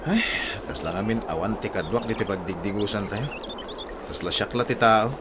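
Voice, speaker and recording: A man's voice: lowish voice, normal speed, background noise, natural voice. A man playing a cheeky, timid younger companion in a radio drama. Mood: sheepish.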